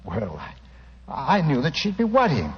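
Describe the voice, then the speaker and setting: An actor playing an ashamed, well-spoken gentleman making a hesitant, emotional confession in a 1940s radio drama. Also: mid-range voice, huge pitch swings, a little slow. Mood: worried, remorseful. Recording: muffled old radio recording with long reverb.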